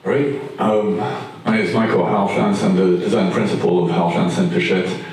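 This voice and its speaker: A man with a Belgian French accent and French as his first language, speaking on an architecture panel with many pauses and ums. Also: low voice, steady tone, darkish tone. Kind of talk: panel talk. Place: large event room. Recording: echoey room, distant mic.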